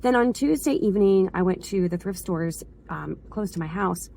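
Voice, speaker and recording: A woman with a hoarse voice from a cold, chatting in a casual, rambling vlog as she circles back to restate things. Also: medium pitch, lively intonation, normal speed, mellow, a little hiss or hum. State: hoarse from a cold, slight sore throat. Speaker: vlogger, school staff member.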